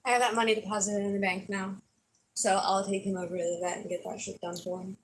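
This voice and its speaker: A young woman chatting casually with her mother, quick and offhand. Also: medium pitch, little pitch movement, husky, poor audio. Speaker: host's daughter. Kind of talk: casual family conversation.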